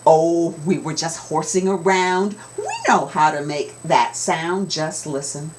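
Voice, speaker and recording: A woman's voice: low-pitched, steady tone, measured pace, background noise. A woman voicing smug, scheming, indignant horses from a storybook in an exaggerated way. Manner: whinnying, exaggerated.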